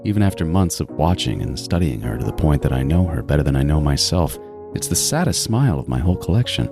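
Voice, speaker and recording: An extremely deep male voice for a cold, obsessive killer, acted by a man, telling his own horror story. He is deliberate and brooding, gloating with quiet menace over clear background music. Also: sing-song, full character voice. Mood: obsessed, brooding. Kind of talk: first-person horror audio drama narration. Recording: music bed under the voice.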